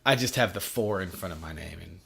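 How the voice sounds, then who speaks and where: Man, 40s, podcast host; low-ish voice, loose, crude, self-mocking banter. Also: fairly quick, vocal fry, pushed and bright, slightly rough. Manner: profane, joking, self-deprecating. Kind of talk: casual chat.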